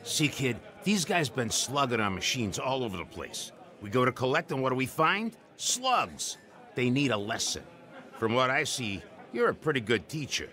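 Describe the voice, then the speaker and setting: An actor playing a dapper, deadly racketeer, clipped and menacing, in a radio drama. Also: male voice, medium pitch, very animated, normal speed, bright, forceful tone. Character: quiet, deadly, dapper slot-machine racketeer.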